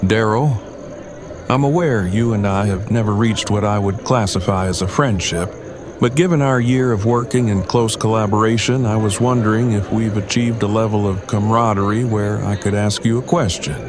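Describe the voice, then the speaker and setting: A man acts out an earnest bridegroom with a fairly low voice, who talks in long, stiffly formal sentences and chooses every word with precision. It is audio drama acting, with party crowd chatter clearly behind him. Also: fairly even intonation, moderate pace, close to an everyday voice. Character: Eugene, formal, wordy, earnest bridegroom survivor.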